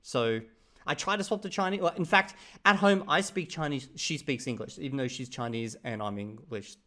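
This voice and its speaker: An Australian man hosting his channel, giving relaxed, opinionated commentary on another creator's video. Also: medium pitch, normal speed. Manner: relaxed, opinionated, chatty asides. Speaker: channel host reviewing a video. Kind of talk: casual commentary.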